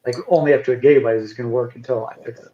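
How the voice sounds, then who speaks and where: A man with a soft, mellow voice talks shop on a casual work call. He pieces technical explanations together haltingly, thinking out loud. The sound is roomy and somewhat muffled, like lo-fi call audio. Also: medium pitch, fairly even intonation, a little hiss or hum. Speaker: software engineer on a team call.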